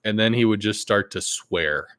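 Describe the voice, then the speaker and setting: A man's voice: normal speed, a bit bright, clear, resonant tone. Laid-back, cussing male podcast host. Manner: laid-back, profane, acts out quoted players in sudden high outbursts. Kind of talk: casual gaming chat.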